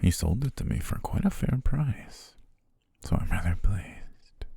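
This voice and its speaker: A young man playing a devoted, quietly possessive butler, speaking softly and doting in an ASMR roleplay on a close mic. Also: low-pitched, expressive, a little slow, creaky, breathy edge, no echo, exaggerated voice. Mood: soothing whisper. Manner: soft, coaxing, doting, lulling. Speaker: ASMR voice actor.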